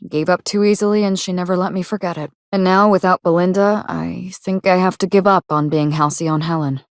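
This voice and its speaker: A woman playing a proud, wry, grieving actress turned quietly ruthless avenger in a video game, speaking in a dry, measured, wistful way with formal phrasing. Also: lowish voice, steady tone, no echo, natural voice. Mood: despairing, reflective. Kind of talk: video game dialogue acting.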